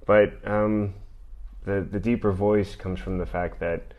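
A middle-aged man with a deep voice, hosting a podcast and talking through an argument in a measured, thoughtful way. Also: level tone, darkish tone. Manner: measured, thoughtful delivery with occasional fillers. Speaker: podcast host. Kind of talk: reading and commenting on an argument.

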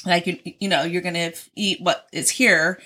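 A woman's voice: lowish voice, fairly even intonation, normal speed, sharp tone. A young adult woman co-hosting a podcast, talking candidly and casually, swearing a lot and laughing easily. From Oregon, US. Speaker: podcast co-host.

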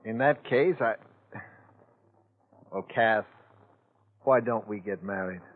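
An actor playing an idle, smooth-talking heir from old money, polished and faintly wry, in a 1940s radio drama. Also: male voice, lowish voice, takes it slowly. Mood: suave, wry. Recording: tinny, noisy vintage radio recording.

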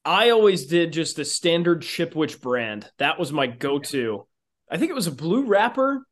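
A man's voice: a little high, sing-song, normal speed. A man from the United States chatting casually as a podcast co-host. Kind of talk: casual chat.

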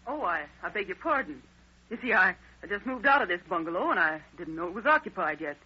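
A woman's voice: lowish voice, sing-song, fast, pushed and bright, slightly rough, full character voice. An actress playing a nervous, apologetic woman, hesitant, stammering and polite, in an old radio crime drama. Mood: flustered. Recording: dull old radio recording.

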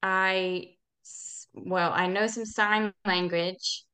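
A woman speaking as an interview guest in casual, halting conversation, often correcting herself as she explains. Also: mid-range voice, steady tone, pushed and bright. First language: English. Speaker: podcast guest, language club organizer.

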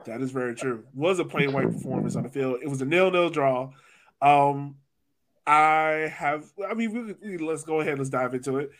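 A man's voice: fairly high pitch, moderate pace. A man hosting a casual, conversational sports discussion as a podcast host.

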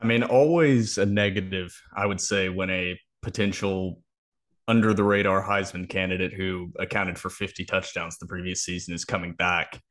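A man's voice: low voice, steady tone, moderate pace, fairly bright tone. An American man co-hosting a sports podcast, giving casual analysis of an upcoming football game.

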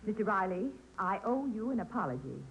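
An actress playing an earnest, insistent schoolteacher with a firm, proper manner in a 1940s radio comedy. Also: female voice, mid-range voice, very animated, a little fast. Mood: apologetic. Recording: dull, noisy old radio recording.

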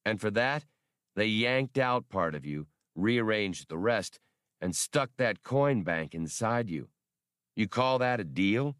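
A man reading an audiobook, voicing a cocky, hot-tempered male smuggler captain who talks scornfully and loudly. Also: lowish voice, animated, no room sound, studio quality. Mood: mocking. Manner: scornful, loud and quick to anger.

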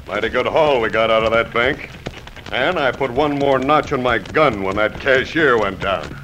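A man's voice: mid-range voice, normal speed, sharp tone, natural voice. A man playing a vicious, boastful outlaw gang boss with a Western American drawl in an old radio western. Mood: curt, menacing. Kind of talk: 1940s-50s radio western acting. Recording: noisy old radio recording, outdoor effects.

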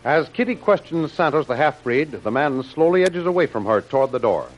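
Brief: male 1930s radio announcer, slightly high voice, declamatory adventure narration, some music behind. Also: expressive, brisk, dull audio. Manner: dramatic, declamatory.

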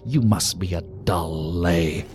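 An actor playing a menacing, talkative intruder with dark sardonic humour in an audio drama, taunting and rambling as he goes. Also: low voice, takes it slowly. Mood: taunting, playful. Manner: taunting, rambling, deadpan-joking. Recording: music under the voice.

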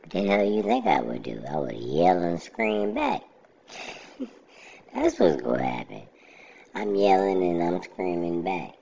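A middle-aged man speaks in an extremely deep, gravelly voice. He talks slowly and evenly, keeping a level monotone as he reflects plainly on his life. The recording sounds a little dull and lo-fi. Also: slight background noise. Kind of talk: casual personal monologue.

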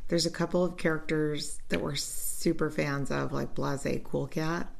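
A woman's voice: deep voice, steady tone, unhurried, gravelly. A woman hosting a podcast in casual, enthusiastic chat, with a gushing, informal manner. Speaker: podcast host.

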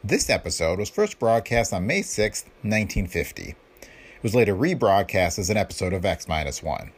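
Lowish, lively male podcast host. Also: normal speed, breathy edge. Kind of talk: scripted announcement narration.

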